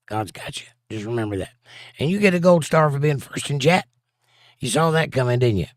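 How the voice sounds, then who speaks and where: A man's voice: mid-range voice, animated, fairly quick, dry recording, studio quality. Joking man hosting a chat stream. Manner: jokey, reads viewer comments aloud, breaks into silly bits. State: slightly hoarse and scratchy. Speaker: livestream host reading chat. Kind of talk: casual livestream chat.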